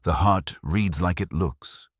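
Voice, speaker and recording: A man voicing a gruff, irritable, weary police inspector with a blunt, grumbling manner in a detective audiobook. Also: deep voice, takes it slowly, no room sound, clean studio sound, heavily acted. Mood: grudging, weary.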